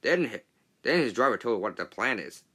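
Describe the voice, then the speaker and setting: A man narrating a children's storybook read-along in a halting, stumbling way. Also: mid-range voice, big pitch swings, a bit bright, breathy edge.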